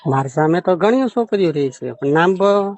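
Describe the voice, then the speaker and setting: A man's voice: high-pitched, lively intonation, normal speed, a little mellow, dry recording. A man talks casually on a private phone call. His voice sits close and dry, and he speaks colloquially, often questioning the other person. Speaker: phone caller. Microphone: phone call recording.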